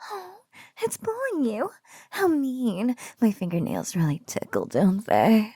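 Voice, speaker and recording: A sultry spider-woman played by a woman taunts in an intimate ASMR roleplay. Her delivery is slow and breathy, swinging playfully in pitch and dropping into whispers. It is very dry and close, with a smug, mock-pitying menace. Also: sing-song, warm tone, no echo, heavily acted. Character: teasing spider-woman bully, sultry, smugly menacing captor.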